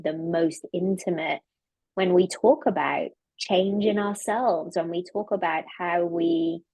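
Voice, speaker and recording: A woman's voice: on the low side, darkish tone, dry recording, rough recording. A woman with an English accent, a guest coach talking about her life in an earnest, reflective way, often restarting her phrases. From London. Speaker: podcast guest, leadership coach. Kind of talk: casual conversation.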